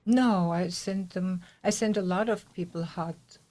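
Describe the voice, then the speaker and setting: Lower-pitched woman with an Austrian accent. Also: steady tone, unhurried, darkish tone. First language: German. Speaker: guest, meditation teacher. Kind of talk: conversational interview.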